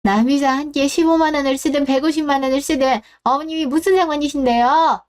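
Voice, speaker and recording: Woman as brazen daughter-in-law, high-pitched, sarcastic, confrontational, scornful, dramatic story delivery. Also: steady tone, quick pace, close to an everyday voice. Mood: scornful, irritated. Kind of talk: scripted dramatic story narration.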